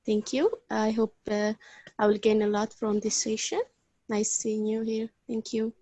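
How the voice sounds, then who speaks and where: A woman with an Ethiopian accent gives a short introduction. Her voice is mellow, fairly high and even in tone, recorded close and dry. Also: unhurried, no room sound. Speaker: meeting participant. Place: online video call.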